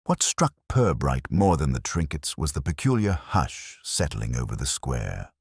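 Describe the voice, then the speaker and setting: A man reading a scripted mystery story in a measured, wry, literary way. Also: very deep voice, sing-song, vocal fry, slightly rough, dry recording, studio quality. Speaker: narrator.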